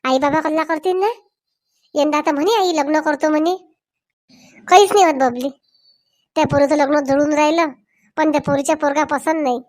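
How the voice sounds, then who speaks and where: A woman's voice: sits high, fairly even intonation, a little fast, long pauses, darkish tone, no echo, natural voice. A woman voicing chatty, meddling village women in a Marathi comedy cartoon, with a rural Varhadi accent and colloquial, exclamatory talk. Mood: coaxing. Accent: rural Maharashtra, Varhadi Marathi.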